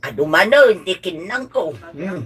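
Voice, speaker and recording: A woman's voice: lowish voice, expressive, normal speed, close to an everyday voice. A woman playing an effusive, food-loving middle-aged auntie in a radio drama, with an Ilocano accent. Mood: savoring, flattering. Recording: noisy amateur live recording with clicks.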